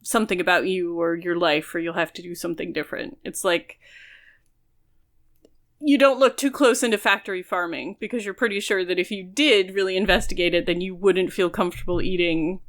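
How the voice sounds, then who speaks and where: A woman's voice: fairly low pitch, a little fast, fairly bright tone. A woman co-hosting a podcast, explaining things earnestly in casual conversation. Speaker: podcast co-host. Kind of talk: casual discussion.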